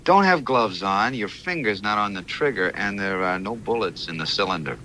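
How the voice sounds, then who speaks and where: A man's voice: fairly low pitch, animated, moderate pace, pushed and bright, slight background noise. A man playing an easygoing, mild-mannered and slightly uneasy writer in a 1970s TV detective drama, speaking casually. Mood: wry, amused.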